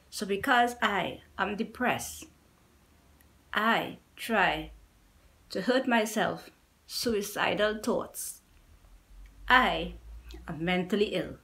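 Woman, middle-aged, Trinidadian accent, mid-pitched, earnest, pleading, repeats herself, home vlog, camera mic. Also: fairly bright tone. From Trinidad and Tobago. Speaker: YouTuber. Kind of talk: personal testimony to camera. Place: small indoor room at home.

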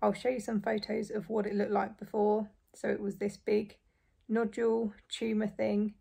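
A young woman in her twenties, hoarse and croaky after surgery, chatting casually to camera from a small bedroom. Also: medium pitch, completely flat delivery, normal speed, mellow. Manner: chatty, relieved, frequent light laughs. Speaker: vlogger. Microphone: phone or camera close in front.

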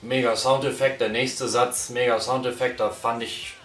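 A man's voice: mid-range voice, flat delivery, moderate pace, fairly bright tone. A man reviewing in a casual couch chat, blunt and slangy. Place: small living room, on a couch. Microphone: far camera mic. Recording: roomy, distant mic, faint music.

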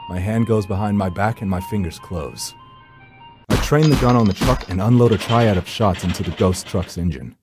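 A man's voice: on the low side, close to an everyday voice. An actor playing a grim, world-weary supernatural rescuer, narrating his hunt in a brooding, solemn, dramatic way. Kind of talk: dramatic scripted first-person narration.

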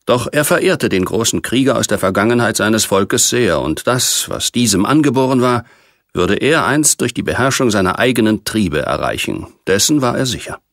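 Male audiobook reader, low and creaky, measured science fiction narration. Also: a bit bright, dry recording, clean studio sound.

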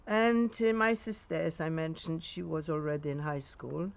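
A woman in her nineties with a Polish accent, recounting memories in a halting way in a sunny garden. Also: low voice, gravelly, a little hiss or hum. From Poland. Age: 90s. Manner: halting, with frequent pauses and restarts. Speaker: interviewee.

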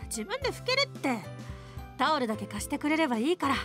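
Casting: breezy little-sister warrior for game radio drama; high, animated, cheerful, independent. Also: female voice, quick pace, music in the background. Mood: sheepish, protesting. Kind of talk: Japanese anime game radio drama acting.

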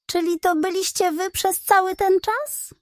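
A man's voice: very high voice, fairly even intonation, a little slow, no echo, studio quality, pitched above the performer's own voice. A woman voicing a stern but kind-hearted male pig detective in a children's bedtime story. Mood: exasperated. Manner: stern, huffing.

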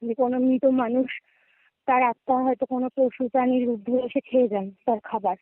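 Young female caller, West Bengal Bengali accent, high register, casual storyteller, tinny phone audio. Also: fairly even intonation, brisk, no room sound. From West Bengal, India. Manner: conversational, checks in with listener often. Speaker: guest caller. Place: remote call, dry sound.

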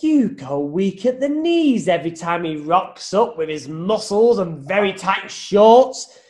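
A man's voice: sits high, lively intonation, a little slow, a little mellow. A British man playing an exasperated, defensive masked superhero in a comic radio play, protesting melodramatically.

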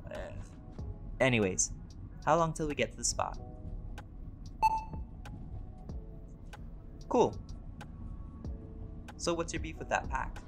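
A man playing a laid-back, centuries-old vampire scholar in an audio roleplay, talking in a relaxed, conversational and wryly playful way. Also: mid-range voice, unhurried, creaky. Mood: casual, concerned. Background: music.

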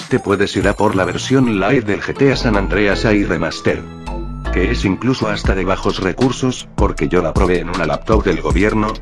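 Man, 30s, low voice, calm gaming voice-over, music bed underneath. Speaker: gaming YouTuber. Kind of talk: voice-over narration over gameplay footage. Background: background music.

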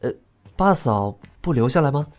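A man's voice: medium pitch, expressive, moderate pace, faint music behind, lightly acted. A man playing an awkward, bemused young prince in an audio drama, speaking politely and hesitantly.

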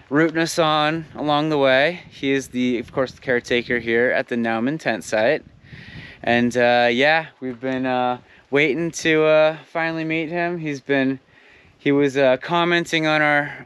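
A man's voice: mid-range voice, a little slow, smooth, no room sound. Easygoing man vlogging outdoors. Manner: relaxed, filler-heavy, chatty. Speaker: vlogger, thru-hiker. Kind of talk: casual vlog chat. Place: outdoors at a mountain tent site.

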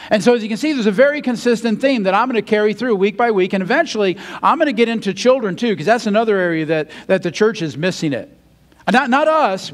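A man in his sixties, a pastor, preaching a sermon in a large church hall and reading scripture aloud while pacing the stage, growing emphatic and indignant. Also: sits high.

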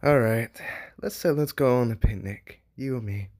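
A man voicing a shy, smitten young demon in human disguise, gentle and hesitant, in an amateur comic dub. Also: medium pitch, moderate pace, lightly acted. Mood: shy, affectionate.

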